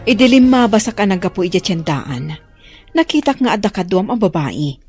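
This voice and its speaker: A woman's voice: mid-range voice, big pitch swings, moderate pace, a little mellow, slightly rough, faint music behind. An actress playing a concerned, probing mother of a grown son in an Ilocano radio drama.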